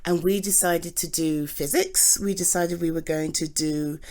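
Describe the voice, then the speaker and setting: A British woman acting as a doting, supportive girlfriend in an intimate ASMR roleplay, affectionate and halting as she talks to her partner. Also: lowish voice, steady tone, a little mellow, close to an everyday voice. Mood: gentle, confiding. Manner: gushing, affectionate, halting with sighs and repeats.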